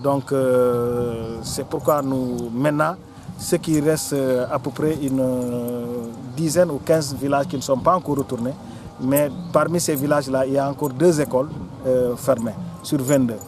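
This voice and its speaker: A Senegalese man gives an interview in a mid-pitched voice. He speaks haltingly, often repeating small words while he looks for the next phrase. Music is clearly audible behind him. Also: steady tone. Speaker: local official or forum organiser. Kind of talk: interview answer recounting local history.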